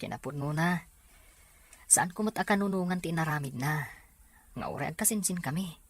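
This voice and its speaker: A woman acting a frank, protective young male cousin with a husky voice in a drama serial. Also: low-pitched, normal speed. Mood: sympathetic, frank.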